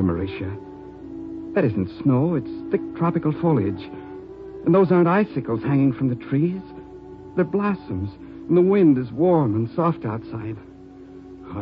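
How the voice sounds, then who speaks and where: A man's voice: mid-range voice, sing-song, moderate pace, long pauses, lightly acted. A man playing a dreamy, hopeful young husband, romantic and fervent, in 1950s radio drama style. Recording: tinny old radio recording, music behind.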